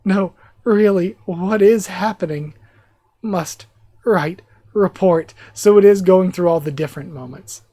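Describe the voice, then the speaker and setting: A YouTube reactor losing their voice, chatting excitedly in a live reaction while reading a manga aloud. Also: mid-range voice, unhurried, no echo, studio quality.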